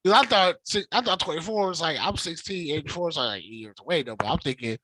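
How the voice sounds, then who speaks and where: A man in his forties chatting casually on a reaction show, telling stories in a loose, profane, animated way. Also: on the high side, quick pace, no room sound. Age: 40s. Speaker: co-host of a reaction channel.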